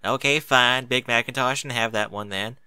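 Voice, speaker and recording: A man's voice: mid-range voice, steady tone, moderate pace, bright, forceful tone, clean tone, dry recording. A man voicing a bossy, down-to-earth female farm pony in a story, with a blunt, folksy manner. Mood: grumbling. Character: bossy, down-to-earth country farm pony mare, protective elder sister.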